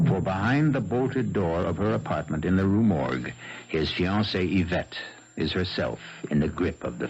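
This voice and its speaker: A man hosting and narrating a 1970s radio mystery show in an ominous, theatrical scripted style. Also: sits low. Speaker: host and narrator. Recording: dull 1970s radio broadcast. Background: loud music.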